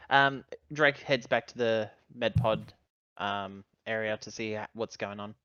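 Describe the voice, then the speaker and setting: A man's voice: on the low side, a little slow, bright, forceful tone, smooth, no room sound, lo-fi sound. A man casually narrating his character's actions as a player in a tabletop game.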